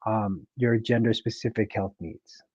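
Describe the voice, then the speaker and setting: A man moderating a panel with brief, soft-spoken prompts. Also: on the low side, fairly even intonation, unhurried, no echo. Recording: dull lo-fi audio.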